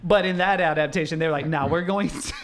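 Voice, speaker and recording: A man's voice: on the high side, a little fast, background noise. A tipsy young man co-hosting a podcast, chatting casually and rambling with fillers, laughs and swearing. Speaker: podcast co-host. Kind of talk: casual drunken chat.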